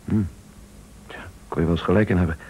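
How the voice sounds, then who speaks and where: Een acteur in een Nederlands hoorspel speelt een milde, eerlijke echtgenoot die aarzelend en sceptisch praat. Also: male voice, deep voice, steady tone, fast talker, close to an everyday voice, rough recording. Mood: puzzled. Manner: doubtful, hesitant. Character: hesitant, sceptical, mild-mannered honest husband. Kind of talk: Dutch radio play acting.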